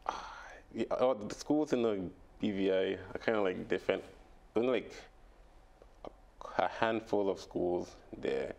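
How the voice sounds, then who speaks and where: Brief: young man, very low and gravelly voice, halting and laughing as a radio guest. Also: expressive, a little mellow, muffled recording. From British Virgin Islands. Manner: halting, laughs often, many fillers. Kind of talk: casual radio interview. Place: radio studio.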